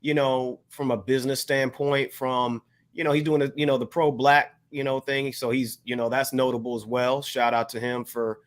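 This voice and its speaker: A man's voice: medium pitch, little pitch movement, normal speed. A man co-hosting a hip-hop show, chatting casually in informal slang and searching for words as he goes. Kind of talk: casual music discussion.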